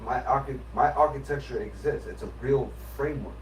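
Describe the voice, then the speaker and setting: A man's voice: medium pitch, fairly quick, distant mic. A man in casual podcast chat, explaining his AI system in an emphatic, insistent way, often restarting his sentences. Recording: roomy, off-mic, faint background noise.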